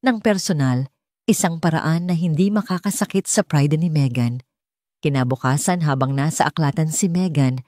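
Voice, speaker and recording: Woman, Filipino accent, audiobook narrator, dramatic romance storytelling, lowish pitch, dry close sound. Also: a little mellow, no echo.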